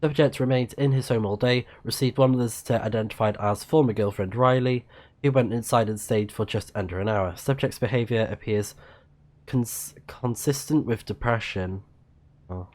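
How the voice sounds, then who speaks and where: A man livestreaming a video game, reading on-screen text aloud haltingly and muttering casual reactions. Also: medium pitch, darkish tone.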